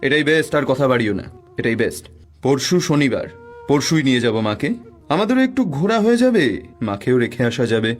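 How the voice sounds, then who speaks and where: A man's voice: mid-range voice, sing-song, fairly quick. A man playing a proud, brusque middle-aged father in a cartoon, speaking emphatically. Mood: insistent, callous. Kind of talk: Bengali cartoon voice acting.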